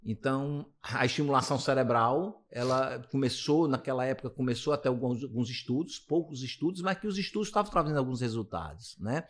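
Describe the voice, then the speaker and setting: A man's voice: medium pitch, animated, fairly quick, hardly any pauses. A man in his late fifties with a Brazilian accent, a physiotherapist being interviewed, talking casually and rambling with many restarts. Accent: Brazilian Portuguese. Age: 50s. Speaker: physiotherapist guest.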